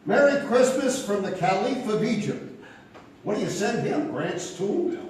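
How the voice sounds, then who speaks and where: A man's voice: sits high, sing-song, a little slow, darkish tone. A man playing a brash, wisecracking comic sidekick in a stage comedy, with a flippant, punchy delivery. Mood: wry. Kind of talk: comic stage radio-play acting. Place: theatre stage before a live audience. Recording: echoey theatre stage from far off, clear noise.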